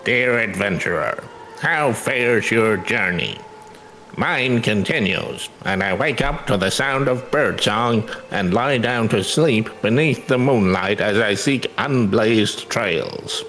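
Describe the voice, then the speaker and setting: A dreamy male minstrel, played by a man, speaks in a low register with measured, poetic flourish. He sounds like an earnest traveler in a fantasy game reading a letter aloud, over faint background noise. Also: fairly bright tone, low-quality recording.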